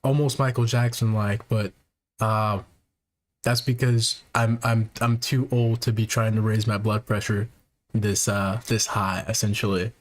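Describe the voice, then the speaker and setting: A man hosting a podcast, talking casually to viewers in a soft, halting way with lots of fillers and restarts. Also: fairly low pitch, little pitch movement. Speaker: podcast host; technician.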